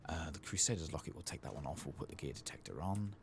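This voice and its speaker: Guy doing asmr gaming commentary, super low creaky voice, half whispering, just mumbling along as he plays. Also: fast, background noise. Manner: relaxed, unscripted murmuring, thinking aloud. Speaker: gaming video host. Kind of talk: soft-spoken and whispered ASMR game playthrough commentary.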